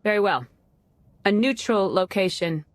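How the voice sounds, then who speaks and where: An actress playing a dignified Jedi grand master in a video game, formal, measured and diplomatic, with calm authority. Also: female voice, medium pitch, no room sound, lightly acted. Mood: businesslike. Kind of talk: dramatic video game acting.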